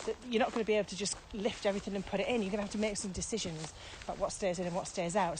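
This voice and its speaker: A woman's voice: medium pitch, slight background noise, poor audio. A British woman co-hosting a casual podcast as a training expert, explaining emphatically with repeated key phrases and rhetorical questions.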